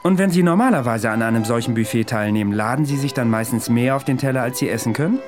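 A man's voice: mid-range voice, quick pace, faint music behind, close to an everyday voice. A man acting in a radio play as a confident, eccentric amateur psychoanalyst, eloquent, probing and provocative.

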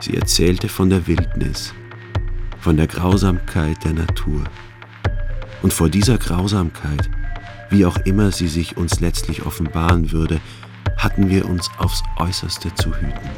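An actor playing a callous, naive soldier who narrates a river mission in a radio play, formal, deadpan and measured. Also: male voice, sits low, music in the background. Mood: solemn, grave.

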